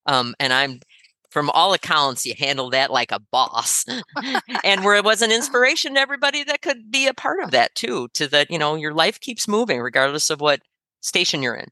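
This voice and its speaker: Bright, encouraging middle-aged woman. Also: low voice, animated, a little fast, no echo. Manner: warm, encouraging, thoughtful, many fillers. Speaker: podcast co-host, yoga teacher. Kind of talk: casual podcast chat.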